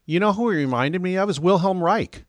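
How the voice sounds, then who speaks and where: A man hosting a casual podcast conversation, relaxed and thinking aloud. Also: sits high, brisk, dry recording.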